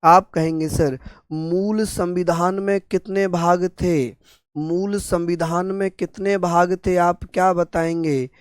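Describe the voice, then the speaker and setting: A man with a sore, hoarse throat teaching an exam-prep class, repeating each term and answering his own questions. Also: high voice, steady tone, slow pace, no room sound, clean studio sound. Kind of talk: online exam-prep lecture.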